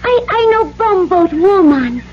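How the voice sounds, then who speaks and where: A woman's voice: very high-pitched, sing-song, unhurried, full character voice. An actress playing a young, proud Polynesian island woman in a radio drama, earnest, speaking short broken English. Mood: pleading, proud. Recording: dull old radio recording, faint noise.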